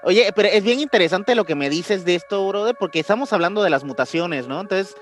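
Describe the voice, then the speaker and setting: A Mexican man with a fairly high voice co-hosts a casual podcast. He talks in slang and often doubles back on his words while thinking aloud. Faint music plays under his speech. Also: animated, fairly quick, fairly bright tone. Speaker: podcast co-host. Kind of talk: casual conspiracy podcast chat.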